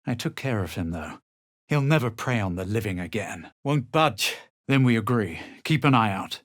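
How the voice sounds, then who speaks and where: A man playing a self-assured, aloof adventurer hero in a video game, speaking with measured, dramatic delivery. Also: fairly low pitch, animated, a bit bright. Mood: self-assured, musing. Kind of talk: video game voice lines, scripted narration and barks.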